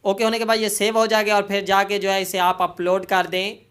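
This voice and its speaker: A tutorial host speaks at a middling pitch in a casual how-to style. The narration goes step by step and addresses viewers as friends, with habitual filler phrases throughout. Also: monotone, fairly quick, fairly bright tone, clean tone.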